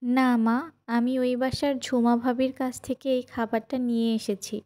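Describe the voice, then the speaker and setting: A woman's voice: a little high, flat delivery, normal speed, warm tone, lightly acted. A woman playing a worried, dutiful village wife in a cartoon, plaintive and pleading. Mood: respectful, troubled. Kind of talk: cartoon voice acting.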